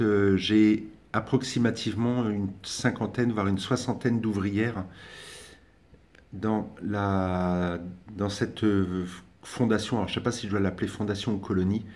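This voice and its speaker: A man's voice: fairly low pitch, a little mellow. A young man casually commenting while filming his ant colony, hesitating often with fillers and restarts. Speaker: ant-keeping hobbyist vlogger.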